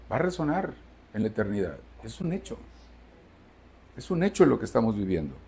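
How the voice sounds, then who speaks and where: A man's voice: mid-range voice, vocal fry, dark tone, slightly rough. A Mexican man in his sixties preaching a Sunday sermon to his church, emphatic, asking the congregation questions and answering them himself. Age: 60s. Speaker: pastor. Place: church service.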